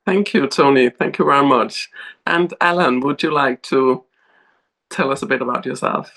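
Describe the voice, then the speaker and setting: A woman's voice: extremely low pitch, normal speed, creaky, fairly bright tone. A woman moderating a panel, politely thanking speakers and handing over. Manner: polite, gracious hand-offs.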